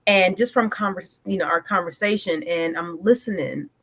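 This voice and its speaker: A woman's voice: lowish voice, moderate pace, a bit bright. A woman in her forties from Guyana, a guest on a podcast interview, talking eagerly and energetically, often stopping to correct herself. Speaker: guest, business coach and military veteran.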